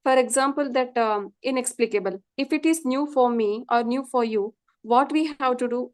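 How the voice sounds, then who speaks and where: Earnest South Indian woman, fairly high. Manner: earnest, repeats points for emphasis. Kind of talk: casual interview chat.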